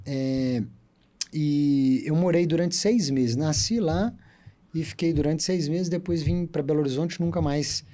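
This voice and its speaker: A talkative man in his thirties with a Minas Gerais accent, chatting easily as a podcast guest. His voice sits a bit high, and his tone stays mellow and relaxed. He tells his story in a lively, animated way. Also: unhurried. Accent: Minas Gerais Portuguese. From Brazil. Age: 30s. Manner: chatty, animated storyteller who loves to talk. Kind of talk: casual storytelling interview.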